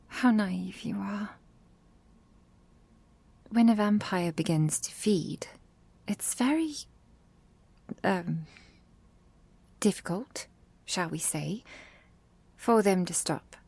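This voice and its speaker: A woman voicing a gentle, protective vampire girlfriend in an intimate ASMR roleplay, tender and slightly formal, often dropping to a breathy whisper. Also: medium pitch, animated, extremely slow, long pauses, heavily acted. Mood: confessional, grave.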